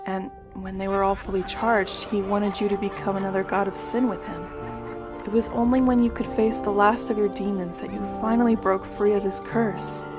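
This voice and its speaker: A woman voicing a wise pony elder calmly explaining old secrets, in a fan audio drama. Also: medium pitch, normal speed, loud music behind the voice, natural voice. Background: musical score.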